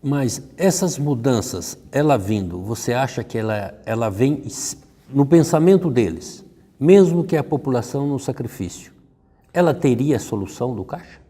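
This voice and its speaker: A Brazilian man works as an interview host in an informal television style. His voice is mid-pitched and extremely mellow and dark. It rings with long hall reverb. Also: expressive, moderate pace, husky. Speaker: talk show host, interviewer.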